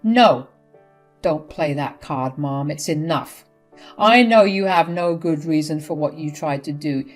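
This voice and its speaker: A woman's voice: low voice, a little slow. A woman voicing a fed-up adult son who refuses his mother bluntly and firmly, over faint music.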